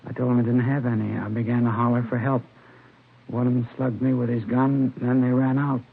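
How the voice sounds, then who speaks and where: An actor playing a mild, middle-aged American shopkeeper in a 1950s radio drama, gravelly, earnest and plain-spoken. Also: male voice, medium pitch, flat delivery, fairly quick. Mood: shaken. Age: 50s. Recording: noisy, muffled vintage radio recording.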